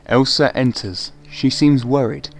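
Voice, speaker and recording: A man's voice: mid-range voice, a little slow, faint music behind, natural voice. A man playing an ominous, florid narrator, speaking portentously in an amateur gothic horror radio play. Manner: portentous, theatrical.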